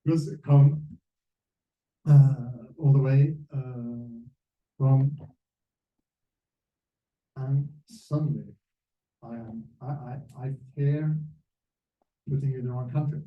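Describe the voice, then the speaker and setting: A man speaking as a panel moderator, giving a public talk in halting, emphatic short phrases. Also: mid-range voice, fairly even intonation. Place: echoey room at a panel event. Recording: muffled, echoey room, distant mic.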